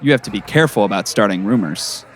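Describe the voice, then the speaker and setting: An actor playing a formal, paternal middle-aged school principal who cautions and admonishes in an audio drama. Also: male voice, on the low side, lively intonation, quick pace, lightly acted. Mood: stern, cautioning. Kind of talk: scripted audio drama acting.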